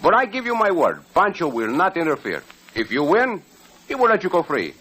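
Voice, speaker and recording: An actor playing a gallant, confident Mexican cowboy hero with a Mexican Spanish accent in old radio western style, polite and commanding. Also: male voice, fairly high pitch, huge pitch swings, fairly quick, bright, forceful tone, husky, heavily acted, poor audio. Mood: confident, daring. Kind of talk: 1940s-50s radio western acting.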